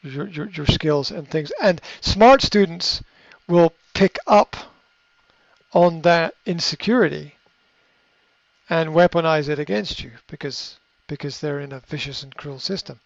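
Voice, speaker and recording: A man giving unscripted coaching advice in a reflective way, often pausing with fillers and restarting his sentences. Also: fairly high pitch, gravelly, dry recording. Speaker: coach answering a question. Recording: dry, slightly muffled recording.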